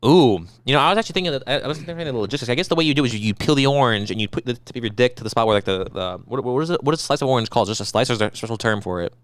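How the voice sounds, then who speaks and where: A man co-hosting a casual podcast, rambling and profane, telling animated stories. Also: medium pitch, very fast, few pauses, clean tone, dry recording. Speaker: podcast co-host. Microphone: podcast microphone.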